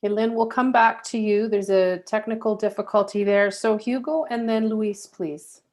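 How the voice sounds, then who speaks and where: A woman moderating an online panel, calling on speakers calmly and patiently over a video call. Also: medium pitch, normal speed. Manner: calm, patient, facilitating.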